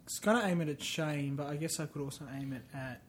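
Casting note: male co-host with a higher voice, playful, riffs along with gags. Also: fast. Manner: playful, riffing on jokes. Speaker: podcast co-host. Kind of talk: casual gaming chat.

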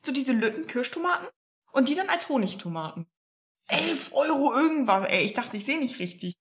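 A young woman co-hosting a casual podcast chat, loud and laughing, with frequent swearing. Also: sits high, sing-song, fairly quick. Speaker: podcast co-host.

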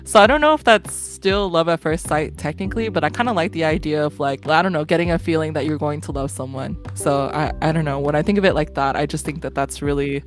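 A woman's voice: deep voice, quick pace, hardly any pauses, soft background music. A woman in her twenties hosting a casual podcast, talking in an earnest, friendly way with frequent fillers and laughs. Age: 20s. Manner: warm, earnest, often laughing, many fillers. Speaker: podcast host.

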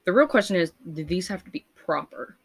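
A young woman with a low voice co-hosts a loose, casual podcast. She reads out poll questions and chatters between them, laughing often. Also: animated, fairly quick, breathy edge. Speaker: podcast co-host.

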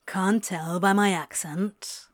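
A woman reads this part as a sardonic male demon with a curt, drawling delivery and a blunt, flirtatious edge. The voice sits at a middling pitch, dropped a little for the role, and the lines come out clipped and dry. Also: fairly even intonation, takes it slowly, close to an everyday voice. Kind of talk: audiobook reading of fan fiction.

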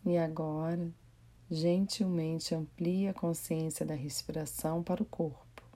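Brazilian female meditation guide, gentle and mellow, very slow delivery with long pauses. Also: sits low, steady tone. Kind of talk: guided body scan meditation.

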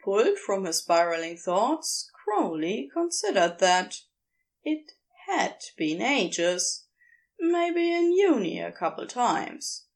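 A woman reading scripted fan fiction as narrator, in an expressive, measured storytelling manner. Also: medium pitch. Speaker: narrator and reader.

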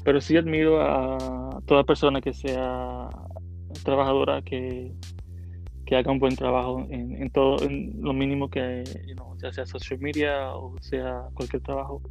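A man's voice: medium pitch, level tone, a little slow, gravelly, a little mellow, highs cut off, soft background music. A man in his thirties from the Dominican Republic, answering interview questions casually with many fillers and English words mixed in. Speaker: interview guest, content creator.

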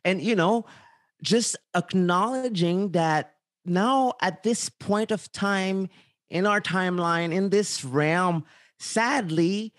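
A man from Haiti, a guest in a casual interview, speaking in an earnest, measured, slightly hesitant way. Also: high voice, a bit bright, no room sound, studio quality.

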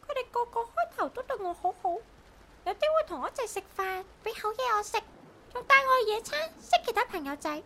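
Talking parrot, radio drama, extremely high, sharp bright tone, squawky, childlike, proud and blunt. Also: female voice, lively intonation, fairly quick, full character voice. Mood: plaintive. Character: talking parrot, chatty, bossy, proud, with a hard past. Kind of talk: Cantonese radio drama acting.

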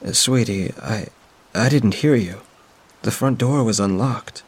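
A man doing a gentle, worried older woman. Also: fairly low pitch, measured pace, husky. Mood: soothing. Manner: gentle, soothing, concerned. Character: caring middle-aged woman, a mother's friend.

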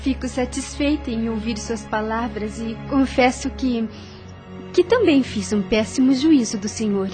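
A woman acting a kind, reserved young widow in a radio soap. Her voice is very high, her speech polite and proper, with music faintly behind her. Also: a little slow, mellow, natural voice.

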